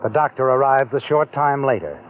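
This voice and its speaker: A man's voice: mid-range voice, expressive, fairly quick, over music. A man narrating a suspense story in second person with an insinuating, knowing manner, on a tinny old radio recording. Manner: insinuating, addresses the listener as "you".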